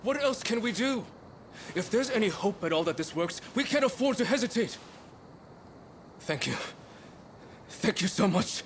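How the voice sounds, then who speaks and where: A man's voice: high voice, expressive, moderate pace, long pauses, slight background noise. A man voicing an earnest, anxious young comrade in an emotional video game cutscene. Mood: urgent, grateful.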